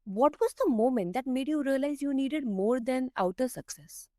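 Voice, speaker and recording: A woman's voice: high voice, lively intonation, moderate pace, warm tone, no echo, studio quality. A woman hosting a podcast, reading a polished introduction and asking interview questions in an upbeat way. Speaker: podcast host.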